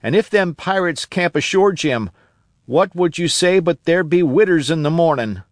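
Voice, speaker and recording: A man voicing an eager, cunning old marooned sailor who talks in a rough rustic dialect. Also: a little high, animated, normal speed, dry recording, clean studio sound, voice pushed up, close to an everyday voice. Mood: anxious. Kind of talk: audiobook character voice.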